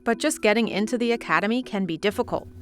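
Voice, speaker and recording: A woman's voice: mid-range voice, quick pace, soft background music. A woman reading scripted narration for a news documentary report.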